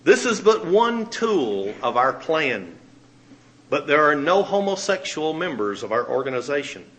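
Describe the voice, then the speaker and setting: Male reader, bit high voice, dramatic letter reading with lots of pitch movement. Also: a bit bright. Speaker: magazine editor and radio minister.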